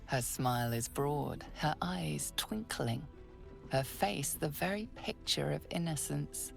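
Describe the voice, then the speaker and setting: Game narrator: knowing woman, heavy vocal fry, unhurried storybook delivery, faint score behind. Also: low voice, full character voice. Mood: wry, knowing. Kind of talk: scripted second-hand narration, storybook tone. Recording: music behind the voice.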